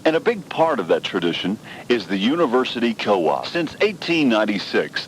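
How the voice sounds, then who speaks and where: A man's voice: medium pitch, slight background noise, rough recording. A man reading a scripted radio commercial as an announcer, on an old radio recording. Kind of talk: scripted radio advertisement read.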